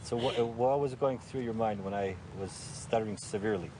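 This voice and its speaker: A man's voice: fairly low pitch, fairly quick, background noise. A man who stutters with repeated words, asking people questions about stuttering.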